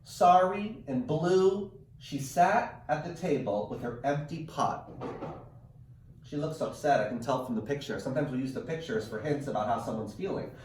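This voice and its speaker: Male storyteller for children's read-aloud, animated and dramatic, heavily reverberant room sound. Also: mid-range voice, slight background noise. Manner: dramatic, drawn-out word emphasis. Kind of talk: expressive children's picture-book read-aloud with asides to parents and kids. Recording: echoey room, distant microphone.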